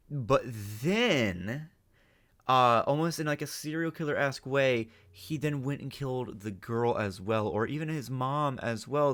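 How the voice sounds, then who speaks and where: A man of average pitch talks through his reactions off the cuff. He speaks haltingly, speculating as he goes, with the casual phrasing of a channel host. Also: a bit bright. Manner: halting, speculative, mild swearing.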